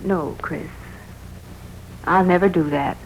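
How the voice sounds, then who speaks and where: An actress playing a devoted, clinging wife in a 1940s radio drama, with emotional, melodramatic, breathless delivery. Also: female voice, fairly low pitch, slow, poor audio. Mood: wounded, firm. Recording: hissy 1940s radio recording.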